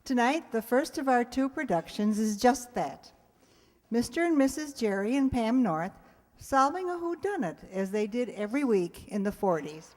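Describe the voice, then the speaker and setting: A woman introduces a live radio players' show to an audience. Her voice is on the high side and rings through a hall. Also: very animated, moderate pace, darkish tone. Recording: echoey hall.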